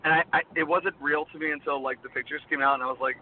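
A man in his thirties, a guest performer, chatting in a rambling way with a voice worn low from screaming. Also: fairly even intonation, racing. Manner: rambling, heavy use of "like". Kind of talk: casual interview chat. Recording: tinny phone-like line, faint noise.

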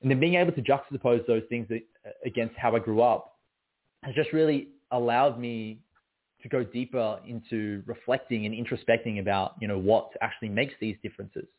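A man's voice: medium pitch, normal speed. A man, a guest poet answering interview questions in a reflective, searching way. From Australia. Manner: reflective, many fillers and restarts. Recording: phone-like narrow sound.

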